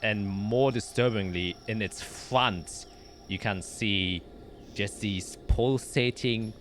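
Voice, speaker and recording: A man narrating a tabletop roleplaying game as game master, with descriptive, dramatic storytelling and deliberate pauses. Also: lowish voice, a bit bright, a little hiss or hum.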